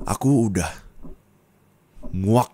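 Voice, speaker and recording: A young man voicing an angry, heartbroken young man who scolds his partner, swinging from shouting to low bitter muttering, in an ASMR roleplay. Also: slow, slight background noise. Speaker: roleplay voice actor.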